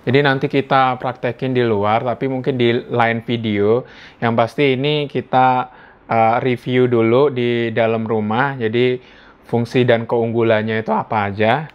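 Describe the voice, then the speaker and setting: Smooth-voiced Indonesian man, dull lo-fi sound. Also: mid-range voice, fairly even intonation, a little slow. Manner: informal, conversational, thinking aloud. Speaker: product reviewer. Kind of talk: casual hands-on gadget review. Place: indoors at home.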